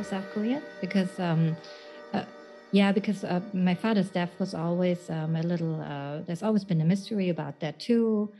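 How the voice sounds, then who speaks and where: A woman's voice: fairly low pitch, rough recording. A woman recalling things in conversation, hesitant and searching for her words. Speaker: guest, writer. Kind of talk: halting conversational recollection.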